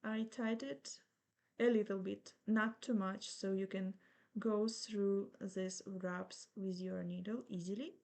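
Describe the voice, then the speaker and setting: A woman's voice: mid-range voice, fairly even intonation, vocal fry. A woman from Ukraine in her thirties, speaking English with a Ukrainian accent as she patiently talks through an embroidery tutorial step by step. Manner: slow, patient, gently reassuring instruction. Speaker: embroidery teacher.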